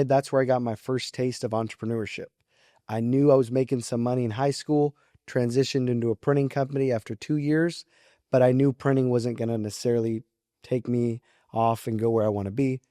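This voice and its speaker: A young man in his early twenties with a mellow, relaxed voice, recorded very dry and close. He speaks as a podcast guest in casual, polite, informal explanations. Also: mid-range voice, dry recording. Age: early 20s. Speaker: podcast guest, real estate agent. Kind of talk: casual interview talk, explaining his approach.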